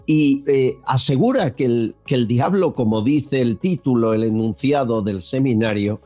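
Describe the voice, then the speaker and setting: Male podcast host; slow, hesitant delivery over faint background music. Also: medium pitch, warm tone. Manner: slow, hesitant with many fillers. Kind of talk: interview hosting.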